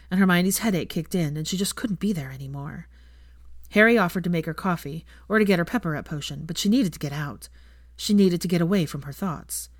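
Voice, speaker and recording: A woman reading scripted fiction as an audiobook narrator, in a measured, expressive way. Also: on the low side, no room sound, clean studio sound.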